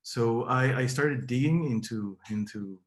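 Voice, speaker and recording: A man's voice: mid-range voice, unhurried. A young man from Peru, a Spanish speaker with a Peruvian accent, giving an academic seminar talk with many fillers and repeated words. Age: young adult. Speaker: PhD candidate giving a seminar.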